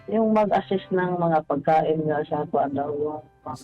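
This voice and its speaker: A man calling a radio show, telling his personal story in a polite, halting way. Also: fairly high pitch. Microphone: telephone. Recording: dull phone-in line, music bed.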